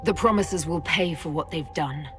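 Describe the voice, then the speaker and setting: A woman's voice: sits low, moderate pace, lightly acted. Woman playing a direct, composed heroine, music behind. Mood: accusing. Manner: direct, questioning. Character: composed woman leader, a fantasy game hero. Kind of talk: video game dialogue.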